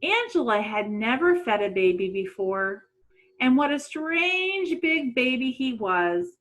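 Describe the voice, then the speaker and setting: A middle-aged woman, a teacher doing an expressive storytime for children and putting questions to young listeners. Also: high voice, a little slow, rough recording. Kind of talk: read-aloud storytime for children. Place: small room at home.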